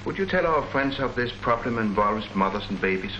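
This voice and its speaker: An actor playing an earnest army colonel who presents public health facts in a declamatory, rhetorical way, in the style of a 1940s education film. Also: male voice, mid-range voice, expressive, fairly quick, sharp tone. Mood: reassuring. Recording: muffled, noisy 1940s film soundtrack.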